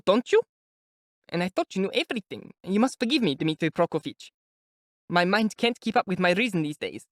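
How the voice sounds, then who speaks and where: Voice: medium pitch, no room sound, studio quality, voice pushed up, close to an everyday voice. A reader voicing an anxious, gushing older mother who talks in breathless exclamations. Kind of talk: audiobook character voice.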